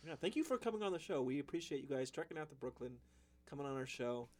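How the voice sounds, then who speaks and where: A man co-hosting a small radio show, throwing in quick wisecracks and short asides. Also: fairly high pitch, animated, darkish tone. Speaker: radio co-host. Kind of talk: casual radio chat.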